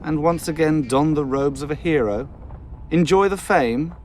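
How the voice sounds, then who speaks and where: A man's voice: fairly high pitch, moderate pace, darkish tone, a little hiss or hum, natural voice. An actor playing a proud, bitter nobleman of royal blood in a video game, formal and sardonic in manner. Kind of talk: video game cutscene dialogue.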